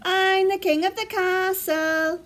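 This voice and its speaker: A woman voicing an eager, excitable little boy bear cub in a storybook, pleading and playful. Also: extremely high pitch, moderate pace, voice pushed up. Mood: boastful. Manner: begging, exclaiming, playful.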